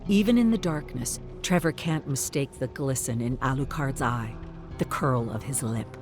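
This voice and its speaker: A narrator telling a gothic vampire-hunting tale for a game in a measured, dramatic, solemn way, as scripted story narration. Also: mid-range voice, darkish tone, faint music behind, natural voice. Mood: grave, suspenseful.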